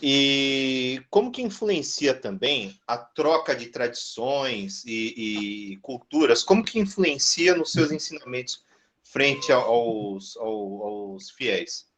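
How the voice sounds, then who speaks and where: Slow, halting male co-interviewer. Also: mid-range voice, lively intonation, fairly bright tone, slight background noise. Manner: halting, many "é" fillers. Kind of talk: interview questions.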